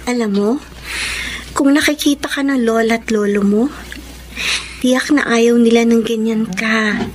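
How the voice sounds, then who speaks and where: A woman's voice: fairly high pitch, fairly even intonation, measured pace, slight background noise, close to an everyday voice, rough recording. An actress playing a caring young woman, an earnest and consoling friend, in an emotional Filipino radio drama style. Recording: music under the voice.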